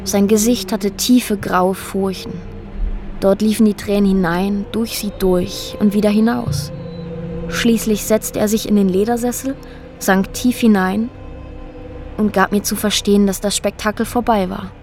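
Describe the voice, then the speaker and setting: An actress playing a woman who recounts a strange, disturbing ordeal in a reflective, halting, matter-of-fact radio drama monologue. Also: mid-range voice, steady tone, darkish tone, natural voice. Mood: absorbed, subdued. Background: music under the voice.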